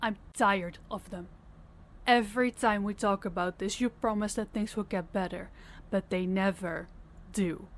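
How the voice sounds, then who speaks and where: A woman's voice: mid-range voice, fairly even intonation, unhurried, lightly acted. Woman acting a stern, commanding female boss. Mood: fed up, accusing. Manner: commanding, blunt, swearing. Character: stern, fed-up female crime boss scolding her subordinate. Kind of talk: audio roleplay acting.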